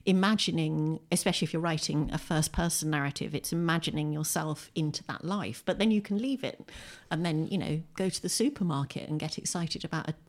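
An English woman with a slightly low voice, speaking as an interview guest. She talks in a relaxed, conversational way with a wry edge, often interrupting herself to rephrase. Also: a little fast, few pauses. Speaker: interview guest, poet and fiction writer. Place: indoors, at home.

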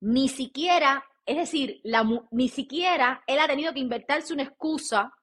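A woman's voice: high voice, normal speed. Emphatic Cuban woman co-host. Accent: Cuban Spanish. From Cuba. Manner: animated, emphatic, addresses listeners directly. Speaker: podcast co-host. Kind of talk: casual chat.

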